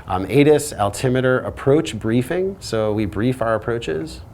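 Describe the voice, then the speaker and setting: A man's voice: mid-range voice, animated, normal speed, a little mellow, a little hiss or hum. A man speaking as a flight instructor, explaining procedures in a teaching style. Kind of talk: explanatory lesson talk.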